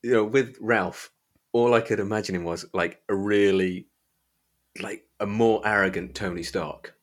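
A man with an East Midlands English accent and a very low voice hosts a book podcast. He talks in an informal, colloquial way, as in a casual chat. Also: fairly even intonation, a little slow. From Derbyshire, England. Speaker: podcast host.

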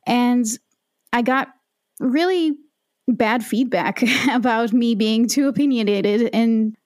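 A woman's voice: a little high, slow, a little mellow, clean tone, dry recording, studio quality. A woman, a podcast guest telling her story in a reflective, candid way. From Israel. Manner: reflective and candid, with frequent ums and restarts. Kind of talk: conversational storytelling.

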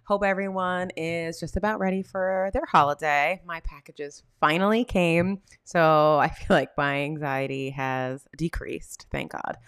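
A woman in her thirties talks through a casual solo podcast episode, chatty and full of fillers and restarts. Her voice sits low but comes across smooth and clear, a little nasal from a cold coming on. The sound is dry and close, with no room around it. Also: fairly even intonation, measured pace, hardly any pauses, a bit bright, no echo. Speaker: podcast host and therapist.

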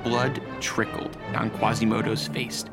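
A man's voice: on the low side, little pitch movement, unhurried, loud music behind the voice. A man playing a grave, suspenseful narrator in a scripted radio drama, precise and solemn.

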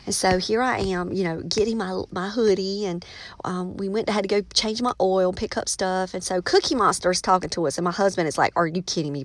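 A woman's voice: medium pitch, dry recording. A middle-aged American woman hosting a casual solo podcast, chatting in a folksy storytelling way straight to her listeners. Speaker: podcast host, teacher and writer.

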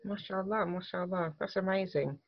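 A woman's voice: lowish voice, normal speed, no echo, muffled recording. A woman hosting a podcast interview, chatty and rambling as she asks questions. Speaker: podcast host. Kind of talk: casual interview talk.

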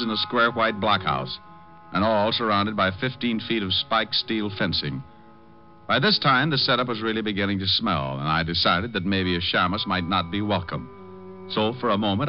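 A man's voice: on the low side, lightly acted. An actor playing a cocky, wisecracking private detective in a 1940s radio drama, dry and flippant as he acts and narrates. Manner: dry, flippant, smooth-talking. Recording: dull old radio recording with music.

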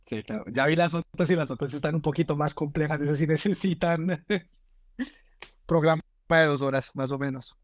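A man co-hosting a talk show, speaking in a relaxed way and often chiming in with short words of agreement. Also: on the high side, dry recording. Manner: relaxed, quick interjections of agreement. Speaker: co-host.

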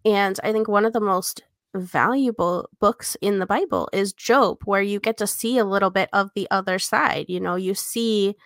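Creaky-voiced woman in casual discussion. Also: medium pitch, normal speed, dry recording, clean studio sound. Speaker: podcast co-host and editor.

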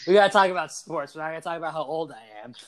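A young man in his twenties hosts a sports podcast. His voice sits a touch high, and he talks in a lively, teasing way, full of quick asides. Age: 20s. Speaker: podcast host. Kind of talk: casual sports podcast chat.

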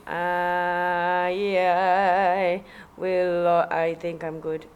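Slow-talking Malaysian woman, relaxed podcast co-host. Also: fairly low pitch, smooth, dull audio. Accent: Malaysian English. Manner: unhurried, searching for words. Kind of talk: relaxed casual chat.

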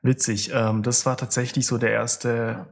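A man's voice: mid-range voice, little pitch movement, unhurried. Chatty man hosting a podcast. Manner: chatty, hesitant restarts, laughs often. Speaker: podcast host. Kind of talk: casual chat.

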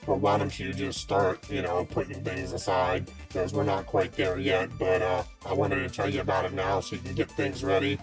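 A man improvises a shrewd underworld client in a tabletop game. His voice is very deep and level, and he negotiates coolly and methodically. Music plays clearly behind him. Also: completely flat delivery, natural voice. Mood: businesslike, cordial. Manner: measured, businesslike, smooth negotiator. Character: cool, shrewd male underworld buyer of heavy weapons. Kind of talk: improvised tabletop role-play.

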